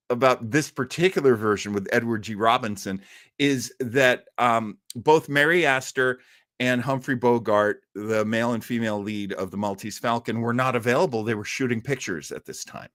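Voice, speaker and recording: A man's voice: medium pitch, fairly bright tone, dry recording. Casual man hosting a live stream, dry close sound. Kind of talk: casual chat with live viewers.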